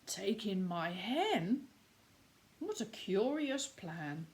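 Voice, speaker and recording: A woman's voice: mid-range voice, very animated, extremely slow, full character voice. A woman voicing a flustered, complaining little old lady from a children's storybook, pleading and exclaiming in a plaintive way. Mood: puzzled. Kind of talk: children's storybook read-aloud.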